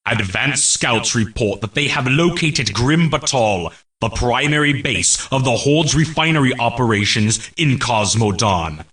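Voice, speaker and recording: A man playing a solemn military herald, narrating mission briefings for a fantasy game in a formal, measured, grave and declamatory way. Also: mid-range voice, fairly bright tone, recorded from a distance. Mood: grave, commanding.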